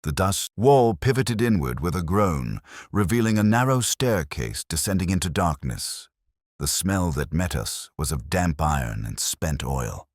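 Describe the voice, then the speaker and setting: A man reading a Victorian detective mystery as a measured, literary first-person story. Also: low voice, sing-song, dry recording, studio quality. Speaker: audiobook narrator.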